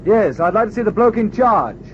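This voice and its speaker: A man's voice: high-pitched, animated, a little fast. An actor playing a polite, resolute man who is coolly willing to take risks, in a mid-century radio adventure serial. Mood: cool, determined. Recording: muffled, noisy old radio recording, engine drone.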